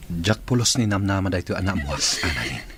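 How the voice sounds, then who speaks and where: A man's voice: deep voice, lively intonation, brisk, fairly bright tone, slight background noise. A man playing an affectionate, earnest character in a radio melodrama, with an Ilocano accent. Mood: earnest, pleading. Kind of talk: Ilocano radio drama acting.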